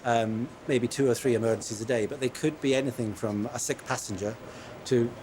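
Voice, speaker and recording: A man supervising controller training, explaining quickly with fillers. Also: mid-range voice, steady tone, background noise. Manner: fast, with fillers. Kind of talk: interview.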